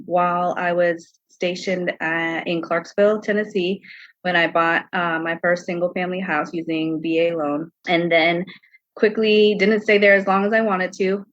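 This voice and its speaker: A woman's voice: fairly low pitch, fairly bright tone, no echo. A middle-aged American woman giving casual, conversational answers as a podcast guest, on slightly dull audio.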